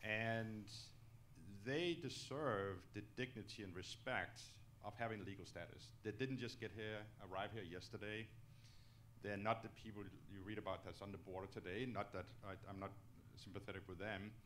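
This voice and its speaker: A man's voice: lowish voice, moderate pace, a bit bright, slight background noise. A middle-aged man from Denmark, a native Danish speaker, giving earnest, emphatic answers in an on-stage interview, often checking in with "okay?". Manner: emphatic, ends points with "okay?". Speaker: company executive, guest speaker. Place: auditorium stage, indoors. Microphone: handheld microphone.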